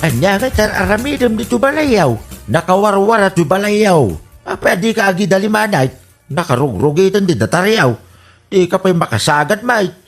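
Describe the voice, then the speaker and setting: Man as sermonizing elderly dad, Ilocano Filipino accent, comedy radio drama, exasperated, very lively intonation, music bed. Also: high-pitched, moderate pace. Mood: scolding. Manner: nagging, sermonizing. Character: scolding, exasperated old father.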